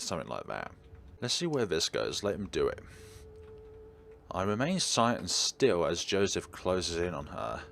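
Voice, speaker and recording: A man reading a visual novel aloud as a let's player, dropping in wry, casual commentary. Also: sits low. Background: game music under the voice.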